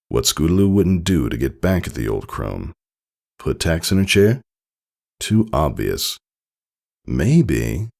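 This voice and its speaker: Male story narrator, deep and fry-laden, expressive scripted reading. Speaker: fan fiction reader.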